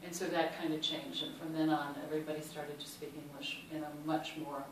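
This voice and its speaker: A woman with a Chicago accent tells personal stories to a panel audience, pausing often on um and uh. Her voice sits a little low. It comes through a very echoey hall from a distant mic, with clear background noise. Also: little pitch movement. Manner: warm and anecdotal, many ums and uhs. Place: large hall.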